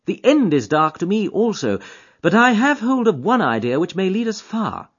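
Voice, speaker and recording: A man reading an audiobook voices an eager, high-strung detective who reasons aloud quickly and emphatically. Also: very animated, slightly rough, heavily acted. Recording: dull lo-fi audiobook recording.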